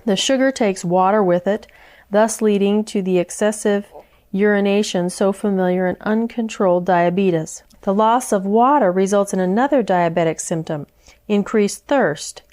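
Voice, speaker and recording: A woman presenting scripted health information in a measured, explanatory manner. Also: mid-range voice, darkish tone.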